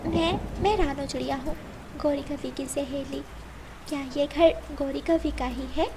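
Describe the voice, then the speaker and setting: A woman's voice: high-pitched, steady tone, unhurried. A woman voicing an anxious, caring mother sparrow in a cartoon, speaking in a worried, polite way. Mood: polite, uneasy. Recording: loud storm, rain and traffic-like noise behind.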